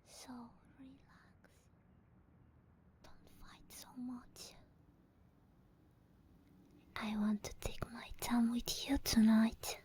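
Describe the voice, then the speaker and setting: A woman voicing an obsessed, possessive female sleep paralysis demon in an ASMR roleplay, in a breathy, teasing, intimate whisper. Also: a little high, steady tone, drawn-out words, pauses often, dark tone, heavily acted. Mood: obsessive, intimate.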